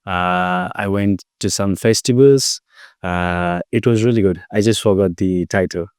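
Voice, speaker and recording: A man from Nepal answers interview questions in a relaxed, easygoing way, sometimes pausing on fillers. His voice is fairly low, smooth and resonant, and it is captured dry and close. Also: dry recording. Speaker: music producer, interview guest.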